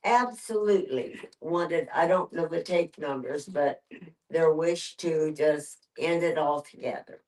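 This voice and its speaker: A woman's voice: low voice, unhurried, darkish tone. An older woman sharing personal reflections in a group discussion in a plainspoken way. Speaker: audience participant. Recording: voice distant from the microphone.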